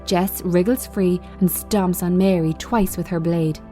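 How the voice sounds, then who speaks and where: A woman reading screenplay directions as scripted narration, in measured, short phrases. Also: fairly low pitch, fairly even intonation, warm tone, soft background music. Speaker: screenplay reader.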